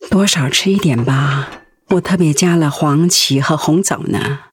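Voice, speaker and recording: An actress playing a gentle, anxious wife who cares for her sick husband in a Mandarin radio drama. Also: deep voice, unhurried, husky, dry recording, studio quality, full character voice. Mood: gentle, caring. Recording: music under the voice.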